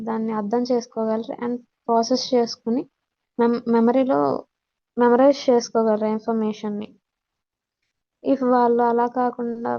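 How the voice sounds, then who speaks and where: A woman with a Telugu Indian accent giving an explanatory lesson, mixing in English terms and pausing hesitantly, on a dull lo-fi recording. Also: on the high side, mellow. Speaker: teacher giving a communication skills lesson. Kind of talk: explanatory lecture, reading out points then explaining. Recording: dull lo-fi audio.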